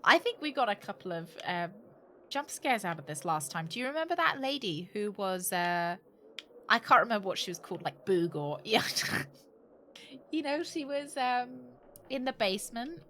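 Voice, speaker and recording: A woman's voice: medium pitch, big pitch swings, moderate pace, bright, forceful tone, slight background noise. A British woman livestreaming a game, chatting casually and jokily with sarcastic asides and some swearing. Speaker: game streamer. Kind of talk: casual livestream chat while playing a game.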